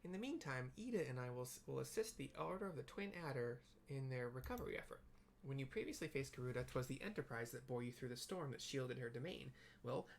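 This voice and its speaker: A person reading game dialogue aloud as a formal, earnest fantasy character, with theatrical, archaic, deliberate delivery. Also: mid-range voice, gravelly, slight background noise. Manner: theatrical, stately, deliberate wording.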